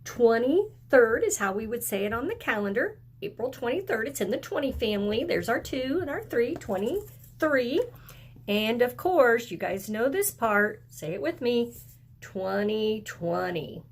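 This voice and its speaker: A woman teaching young children as a kindergarten teacher, speaking deliberately and encouragingly, with questions and pauses for answers. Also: fairly high pitch, breathy edge. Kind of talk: video lesson and read-aloud for young children.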